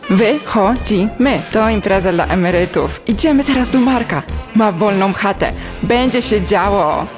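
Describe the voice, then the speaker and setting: A woman's voice: mid-range voice, animated, measured pace, lightly acted. A young woman playing a bold, confident, outgoing teenage schoolgirl in an audio drama, brash and self-assured. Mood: breezy, decisive. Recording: reverberant hall, music behind.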